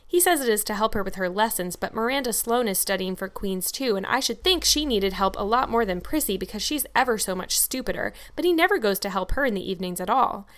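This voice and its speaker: A woman's voice: medium pitch, lively intonation, fast, few pauses, fairly bright tone, natural voice. Woman as a chatty, dramatic young girl. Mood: chatty, earnest. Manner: chatty, earnest, grandly worded. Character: talkative, dramatic, imaginative young girl, confident and bookish. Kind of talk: audiobook character dialogue.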